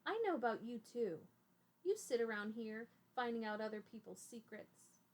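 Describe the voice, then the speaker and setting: A woman's voice: on the high side, lively intonation, normal speed, darkish tone. A woman voicing a nervous, curious young boy in a storybook, blurting and defensive. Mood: indignant.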